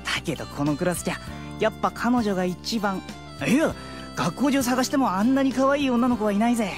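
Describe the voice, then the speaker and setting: Woman as schoolboy sidekick, mid-pitched, slangy, excitable, chummy, anime audio drama, music behind. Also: a little fast, lightly acted. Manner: brash, slangy, eager. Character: teenage boy, girl-crazy, chummy, excitable classmate. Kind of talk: scripted Japanese anime-style audio drama acting.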